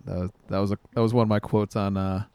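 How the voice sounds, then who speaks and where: A man's voice: fairly low pitch, level tone, fast, a little mellow, clean tone, dry recording. A man in his thirties bantering casually and crudely as a podcast co-host. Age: 30s.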